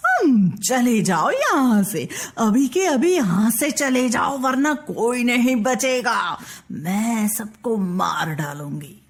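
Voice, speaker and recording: A woman's voice: a little high, big pitch swings, slow pace, few pauses, full character voice. A woman voicing a stubborn, hot-tempered young shapeshifting cobra in a children's fairy tale, with a menacing, boastful, dramatic manner. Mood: threatening.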